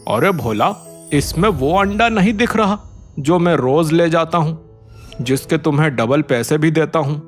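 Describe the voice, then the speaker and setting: A man's voice: a little high, lively intonation, a little slow, faint music behind. A man voicing a greedy, insistent village merchant in a cartoon, talking in a pushy, familiar way.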